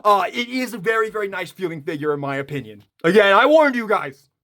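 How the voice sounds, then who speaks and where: Male reviewer, high-pitched voice, enthusiastic fan delivery, casual unboxing chat. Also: animated, quick pace, sharp tone. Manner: gushing, enthusiastic fan talk. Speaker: toy reviewer.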